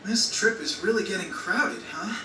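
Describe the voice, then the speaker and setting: A man's voice: high voice, moderate pace, sharp tone, slight background noise. A man playing an easygoing, reassuring teenage boy adventurer in a video game dub. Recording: distant roomy game audio, faint music.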